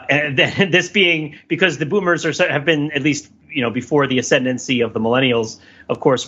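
A man with a bright, rough-edged voice thinks aloud as a podcast co-host. He talks casually but analytically, in long wordy, wandering sentences. The recording sounds a little dull and lo-fi. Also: mid-range voice. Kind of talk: casual discussion and cultural commentary.

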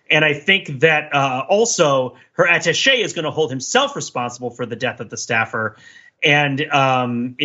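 A man with a bright, forceful voice talks as a podcast host. He builds long, emphatic speculative arguments in a conversational way, on a slightly dull, lo-fi track. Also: medium pitch. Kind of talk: casual analytical discussion of a TV show.

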